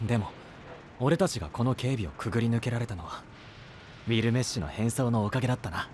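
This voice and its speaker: A man voicing a blunt, brash young male knight apprentice in an anime voice drama, talking in a rough, casual way. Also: medium pitch, expressive, fast, a little mellow, slight background noise, close to an everyday voice.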